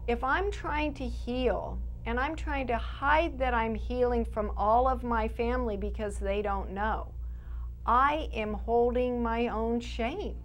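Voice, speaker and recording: A forty-nine-year-old woman hosting her own show, speaking to the camera in an earnest, halting way with frequent restarts. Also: fairly high pitch, a little hiss or hum. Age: 40s. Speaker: show creator and host. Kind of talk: personal address to camera.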